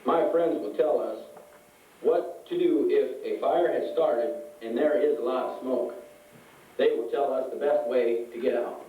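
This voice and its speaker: A man's voice: medium pitch, measured pace, a little hiss or hum, lightly acted. A man voicing an earnest puppet sidekick in a children's fire-safety show. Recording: muffled phone-like sound, echoey room, far off.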